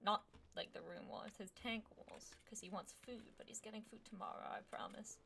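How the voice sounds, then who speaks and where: A woman's voice: mid-range voice, fairly even intonation, brisk, vocal fry, sharp tone, slight background noise. A chatty, informal woman streamer giving casual commentary while she plays a game. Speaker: gaming streamer. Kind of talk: casual let's play commentary.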